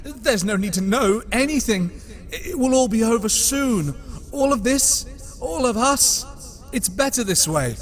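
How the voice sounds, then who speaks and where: A mad, fearful little god of trifles, hesitant and rambling. The male voice sits extremely high and rings in a long cavernous reverb, as in a motion comic. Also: animated, a little slow. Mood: resigned, despairing.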